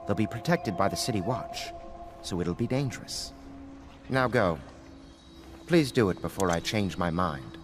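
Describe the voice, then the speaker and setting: A troubled lord from a dramatic video game. His voice is on the low side and moves expressively up and down. He sounds refined and full of regret. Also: expressive, a little slow, pauses often, soft background music. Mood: grave, regretful. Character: troubled aristocrat lord betraying his own brothers.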